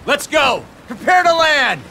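Game role: commanding warlord bent on conquest, bright forceful high voice, faint noise behind. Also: male voice, sing-song, a little slow, gravelly, heavily acted, poor audio. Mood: rousing, triumphant. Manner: declamatory, commanding. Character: bold, battle-hungry male warlord set on conquest. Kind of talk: dramatic video game cutscene acting.

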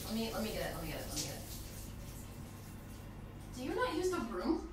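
A woman doing an intimate girlfriend roleplay in casual one-sided chat, playful and teasing, often halting and repeating words. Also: fairly high pitch, huge pitch swings, far from the mic. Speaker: girlfriend roleplay audio performer. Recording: very echoey bathroom-like sound, off-mic, background water noise.

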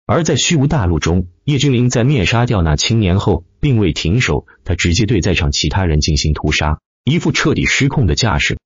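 Male audiobook narrator, dramatic fantasy, emphatic delivery, husky tone, dry but slightly dull recording. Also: lowish voice, sing-song, a little fast, few pauses, dry recording. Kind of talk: dramatic scripted narration of a fantasy web novel.

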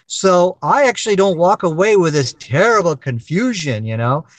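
High-voiced man debating on a video call. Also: lively intonation, normal speed, no room sound, rough recording. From Pakistan. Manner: persistent, lecturing, often says "you know". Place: dark room, indoors. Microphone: webcam on video call.

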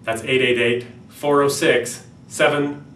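A North American man in his thirties explains a product in a medium-pitched voice, pausing and searching a little as he goes. He is recorded indoors some distance from the microphone, so the room rings around his voice. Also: flat delivery, a bit bright. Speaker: company product presenter. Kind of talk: product demonstration talk. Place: small plain-walled room indoors. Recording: roomy, distant mic, faint background noise.

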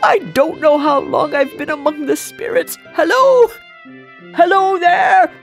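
A woman's voice: sits low, sing-song, slow pace, creaky, faint music behind, pitched below the performer's own voice, heavily acted. A woman voicing an impassioned, exclaiming old miser in a storybook reading. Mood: giddy joy. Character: miserly old man, frightened, pleading, then giddily joyful.

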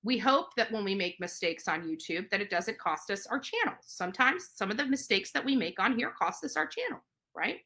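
A woman's voice: medium pitch, big pitch swings, fairly quick, bright, forceful tone. A middle-aged woman giving indignant, emphatic commentary straight to camera, repeating phrases for emphasis. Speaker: livestream host. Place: indoor living room on a couch.

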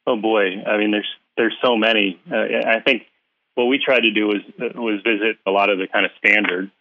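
A lower-voiced man comes through a thin, phone-like line with a dry sound. He talks in a relaxed, friendly way, stopping often for "uh" as he gathers his thoughts. It sounds like a guest speaking on a remote call. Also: moderate pace, dry recording. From Philadelphia area. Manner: hesitant, many uhs, relaxed and friendly. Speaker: guest author. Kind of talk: casual interview chat.

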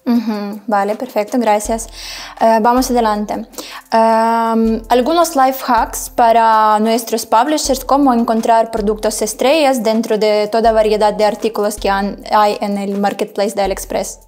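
Young woman interviewer with a high voice. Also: steady tone, measured pace, a little mellow. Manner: brief affirmations and polite prompts. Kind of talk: business interview questions.

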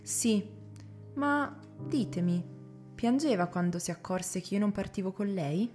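A woman's voice: mid-range voice, expressive, measured pace, darkish tone, close to an everyday voice. A woman acting a devoted female servant who tells her story earnestly, as radio drama. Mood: sorrowful. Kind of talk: dramatic first-person narration and dialogue. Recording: guitar music behind the voice.

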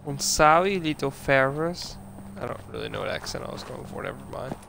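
A man streaming a game, chatting casually and swearing in excitable outbursts. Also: medium pitch, brisk, vocal fry, slight background noise. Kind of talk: casual let's play commentary.

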